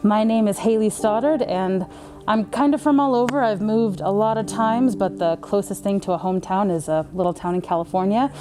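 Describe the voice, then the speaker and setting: A young woman student answering documentary interview questions in a reflective, measured way. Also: medium pitch. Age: young adult. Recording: music bed under the voice.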